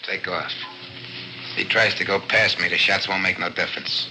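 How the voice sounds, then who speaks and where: An actor playing a taunting gangster leader in a radio thriller, goading and menacing. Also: male voice, sits low, steady tone, normal speed, pushed and bright, heavily acted. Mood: commanding, taunting. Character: taunting gangster leader stalking a trapped man. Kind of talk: 1940s-50s radio thriller acting. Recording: noisy old radio recording.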